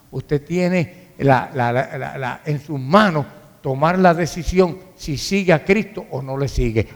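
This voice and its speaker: A man's voice: fairly high pitch, a bit bright. A man with a Dominican accent preaching as a pastor, emphatic yet conversational, repeating phrases for emphasis. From Dominican Republic. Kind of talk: sermon. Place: large reverberant room, church service. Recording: hall reverb.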